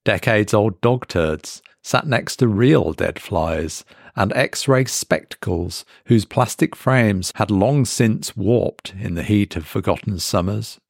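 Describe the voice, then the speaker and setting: A man reading a scripted horror story as a dramatic narrator, measured and deliberate with pauses for effect. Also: lowish voice, vocal fry, dry recording, studio quality.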